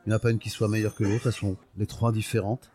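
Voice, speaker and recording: A man's voice: on the low side, level tone, quick pace, mellow, faint music behind. A man in his sixties from Portugal, telling his story in an interview, thoughtful and often hesitating as he searches for words. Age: 60s. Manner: fond, proud of his home region. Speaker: guest, olive oil producer. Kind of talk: podcast interview, personal storytelling.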